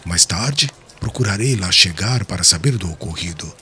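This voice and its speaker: Deep, forceful, coldly pompous man played by an actor. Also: expressive, moderate pace, slight background noise. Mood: dismissive. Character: Sadok, cold, reserved, self-important male friend turning someone away. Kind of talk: dramatized audiobook dialogue.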